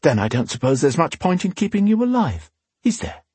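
Man playing a cool, menacing rogue, lively intonation. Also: a little high, slightly rough, no room sound, pitched above the performer's own voice. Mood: quiet threat. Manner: unhurried, mock-polite, quietly threatening. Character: cool, menacing male rogue with dagger, wryly amused. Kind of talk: dramatic audiobook character voice.

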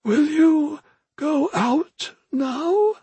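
A man voicing a solemn old ghostly mentor in an audiobook, with a thin, strained voice and slow, formal, drawn-out phrasing. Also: very high-pitched, lively intonation, warm tone, no echo, voice pushed up, exaggerated voice. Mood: urging. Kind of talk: audiobook character acting.

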